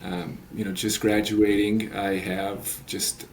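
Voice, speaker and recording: Earnest late-thirties man, low voice. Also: flat delivery, takes it slowly, slight background noise. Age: 30s. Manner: earnest, rambling with many fillers and restarts. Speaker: guest, resilience coach. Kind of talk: podcast interview.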